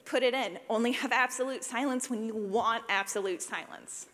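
A woman giving an informal talk as a sound designer, animated and self-deprecating, with a jokey manner and frequent use of "like". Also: fairly high pitch, moderate pace. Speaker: speaker, sound designer for audio fiction. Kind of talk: informal conference talk with audience questions. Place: large reverberant room, live talk. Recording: reverberant hall sound.

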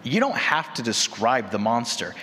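A man's voice: mid-range voice, very animated, moderate pace, sharp tone. A man talking informally and agreeably as a podcaster in a casual panel discussion. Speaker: horror fiction podcaster on a panel. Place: large echoey room at a convention panel. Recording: echoey hall panel recording.

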